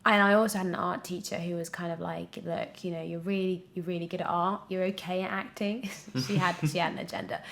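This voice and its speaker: A woman's voice: fairly low pitch, brisk, vocal fry, few pauses. A young British woman telling anecdotes casually in an interview, chatty and giggly, often acting out what was said. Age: young adult. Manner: chatty, animated storytelling with self-quotes and giggles. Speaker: actor, podcast guest.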